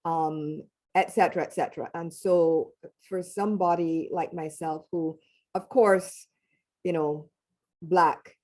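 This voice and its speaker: A woman's voice: deep voice, steady tone, takes it slowly, a little mellow. A woman with a West Indian accent speaking on a panel, talking emphatically and with animation. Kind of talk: panel discussion.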